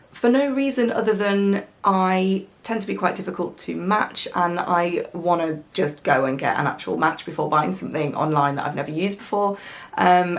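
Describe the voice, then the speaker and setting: A young woman, chatting casually through a makeup review, her voice croaky from the early morning. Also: fairly low pitch. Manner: chatty, lots of ums and small laughs. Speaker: beauty vlogger. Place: at home.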